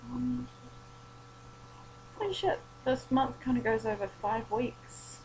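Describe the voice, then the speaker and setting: A woman's voice: on the high side, lively intonation, slow, darkish tone, slight background noise, poor audio. A tired young woman, rambling and swearing in a casual late-night vlog, her voice worn and starting to go. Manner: rambling, sweary, self-deprecating. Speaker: vlogger.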